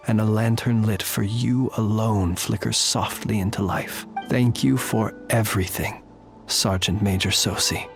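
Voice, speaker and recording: Low, courtly, melancholy gentleman. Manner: measured, formal, solemn. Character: courtly, melancholy gentleman lantern keeper, calm and wry. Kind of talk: scripted game drama, eulogy-like. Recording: music behind the voice.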